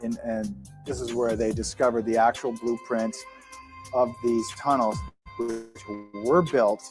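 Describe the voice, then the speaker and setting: A man's voice: mid-range voice, little pitch movement, a little slow, soft background music. A man telling an anecdote in a chatty, amused way on a podcast. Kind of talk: anecdotal storytelling.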